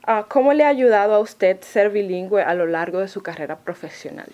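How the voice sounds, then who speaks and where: A woman's voice: medium pitch, lively intonation, fairly quick, muffled recording, noisy background. A woman hosting a podcast, asking polite, formal interview questions and giving short acknowledgements.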